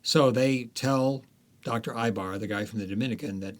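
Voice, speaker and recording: A male author, interviewed as a guest, recounting history and his book's plot in a storytelling way, with frequent pauses and fillers. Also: medium pitch.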